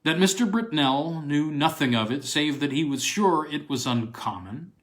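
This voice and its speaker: A man reading a short story aloud as an expressive literary narrator. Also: on the high side, moderate pace. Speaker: reader of short stories.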